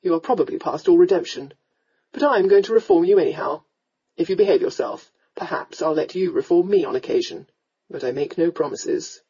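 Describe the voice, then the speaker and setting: A woman plays a young aristocratic rake in a romance audiobook, pitched low and mellow. He is blunt and commanding, with a hot temper and a tender side. The recording is a little dull and muffled. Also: brisk, husky. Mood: heated.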